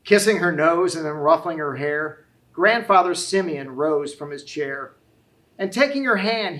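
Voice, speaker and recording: Male storybook narrator, high-pitched voice, rhymed read-aloud, expressive, sing-song verse.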